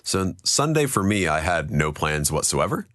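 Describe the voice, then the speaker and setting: Very deep-voiced guy, kinda hoarse, wry laid back podcast talk, dry mic. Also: big pitch swings, moderate pace, fairly bright tone, no room sound. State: hoarse from singing. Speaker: podcast co-host. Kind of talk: casual chat.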